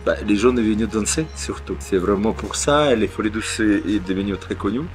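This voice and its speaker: A man's voice: lowish voice, fairly quick, soft background music. A man speaking as an artistic director in an interview, hesitant, with many fillers and restarts. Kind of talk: interview answers.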